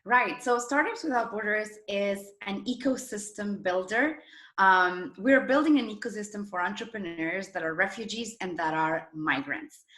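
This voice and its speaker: A woman's voice: mid-range voice, bright, forceful tone. A woman from Argentina, telling her story enthusiastically and emphatically as an interview guest, recorded in a roomy, echoing space. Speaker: interview guest, startup founder and former journalist.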